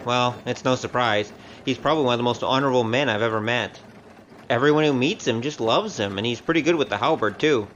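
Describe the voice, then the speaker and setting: A breezy rogue of an adventurer, played by a man, talks in a casual, wry way, as if chatting on the road. His voice sits at an ordinary male pitch in this audio drama, over clearly audible noise. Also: fast talker, fairly bright tone, muffled recording, natural voice. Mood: chatty, wry.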